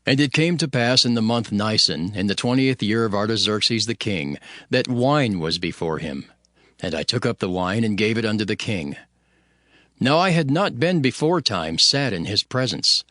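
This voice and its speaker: A man's voice: fairly low pitch, lively intonation, moderate pace, a bit bright, no echo, clean studio sound. A man giving a dramatic scripted narration of scripture, solemn and declamatory. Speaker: Bible narrator, voicing Nehemiah. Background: music.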